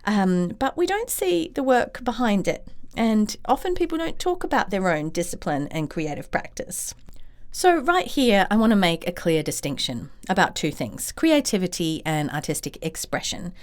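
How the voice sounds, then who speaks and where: A woman hosting her own podcast as a creativity coach, talking straight to listeners in an encouraging way, a little croaky from a chest cold. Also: medium pitch, very animated, normal speed. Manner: warm, encouraging, direct to listeners. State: slightly croaky from bronchitis. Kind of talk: solo podcast talk.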